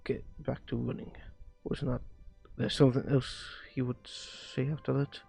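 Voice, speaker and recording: A man streaming a visual novel, reading its dialogue aloud haltingly and often stumbling and rereading lines. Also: mid-range voice, level tone, creaky, warm tone, husky. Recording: music behind the voice. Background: music.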